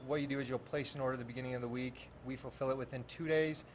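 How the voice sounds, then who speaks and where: A man's voice: medium pitch, steady tone, very fast, noisy background. A man in his thirties who runs a meal prep business, explaining it matter-of-factly to interviewers on a handheld mic in his shop. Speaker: meal prep company owner. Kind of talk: interview answers.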